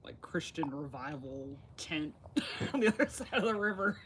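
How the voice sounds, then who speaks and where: A man co-hosting a podcast, chiming in with short, relaxed asides. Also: on the high side, normal speed, a little hiss or hum. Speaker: podcast co-host. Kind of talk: casual chat.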